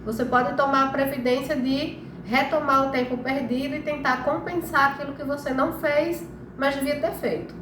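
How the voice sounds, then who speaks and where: A woman's voice: high voice, fairly even intonation, normal speed. A Brazilian woman with a slightly nasal, congested voice from a cold, explaining things informally as host of a health live stream and often checking that listeners follow. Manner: conversational, frequent check-in tags. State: congested, recovering from flu. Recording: echoey room with clear background noise.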